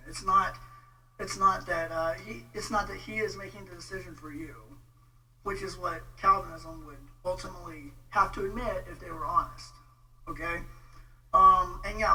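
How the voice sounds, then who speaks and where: A man with a Texan accent giving an informal, halting, exasperated rant on a casual livestream. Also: high-pitched, sharp tone. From Texas, United States. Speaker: vlogger, religious debate commentator. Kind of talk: casual livestream rant replying to viewer comments. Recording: distant mic with faint noise and hum.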